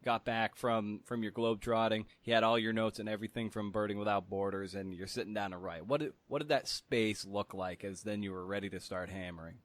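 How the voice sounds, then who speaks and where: A man with a fairly low voice speaks as a podcast host in a loose, informal style, rephrasing himself as he goes. The sound is close and dry. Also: fairly even intonation, a little fast, hardly any pauses, no echo. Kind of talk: conversational interview and podcast narration.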